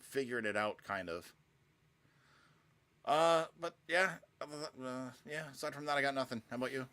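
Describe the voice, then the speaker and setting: A man's voice: mid-range voice, normal speed, bright, forceful tone, clear, resonant tone. A man in his thirties co-hosting a podcast, chatting casually and rambling with lots of filler words and swearing. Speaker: podcast co-host.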